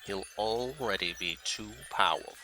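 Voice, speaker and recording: Fawning henchman voiced by a man, sharp-toned. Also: lowish voice, normal speed, soft background music, rough recording. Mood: servile. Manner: servile, eager to please. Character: fawning male alien henchman, servile. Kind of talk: audio drama acting.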